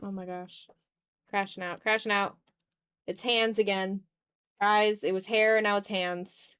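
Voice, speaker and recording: A woman's voice: mid-range voice, flat delivery, unhurried, fairly bright tone, smooth. A woman streamer chatting informally on a livestream while she draws, often muttering to herself as she works. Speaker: streamer hosting an art stream. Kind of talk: casual livestream chat while drawing.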